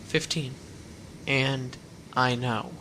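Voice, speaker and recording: A man's voice: medium pitch, fairly even intonation, very slow, gravelly, pushed and bright, a little hiss or hum, full character voice, poor audio. A rambling teenage runaway boy. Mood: earnest. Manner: plain-spoken, rambling storyteller with folksy words. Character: teenage runaway boy, fifteen, earnest and guarded. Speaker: hitchhiking runaway. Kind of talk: radio drama acting.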